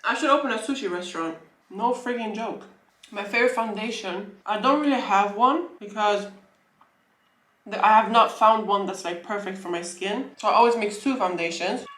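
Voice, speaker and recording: A woman's voice: medium pitch, normal speed, a bit bright, roomy sound, low-quality recording. A young woman from Sweden chatting casually as she eats and answers questions in a home vlog, rambling and correcting herself. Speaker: vlogger. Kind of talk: casual mukbang Q&A chat. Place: room at home.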